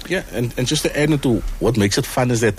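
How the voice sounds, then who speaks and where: Earnest man, halting speech. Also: mid-range voice, a little hiss or hum. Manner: earnest, repeats words, many ums. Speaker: choir executive. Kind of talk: casual interview chat.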